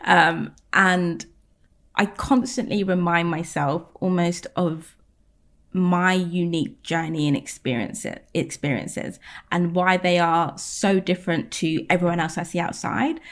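A woman in her thirties with a slightly low voice talks as a podcast guest. She speaks candidly, thinking aloud as she goes, with plenty of ums and restarts. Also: fairly even intonation, a little slow, a bit bright. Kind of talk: casual interview chat.

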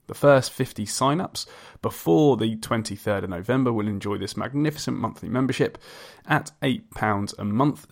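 Male podcast host, mid-pitched voice, friendly interviewer delivery. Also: darkish tone. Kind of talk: scripted podcast intro and friendly interview chat.

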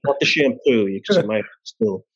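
A man's voice: on the low side, animated, normal speed, breathy edge. A man in his forties bantering casually in a self-deprecating, joking way as a podcast host. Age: 40s. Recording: dull, lo-fi audio.

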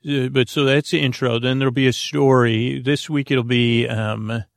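A man with a gravelly, creaky voice hosting a sleep podcast, rambling in a halting, goofy, improvised bedtime monologue that wanders into tangents. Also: medium pitch, fairly even intonation, dry recording, clean studio sound.

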